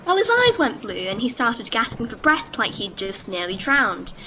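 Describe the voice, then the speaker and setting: A woman's voice: on the high side, huge pitch swings, normal speed, sharp tone, full character voice. A woman acting as a forthright, chatty, no-nonsense mother in an audio drama. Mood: worried. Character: forthright Welsh mother running a boat rental, protective. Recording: noisy ambience effects behind.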